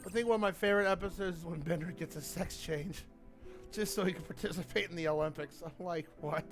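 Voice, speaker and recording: A man's voice: high voice, quick pace, faint music behind. A man chatting casually as a game streamer, rambling and teasing in a playful way over cutscenes.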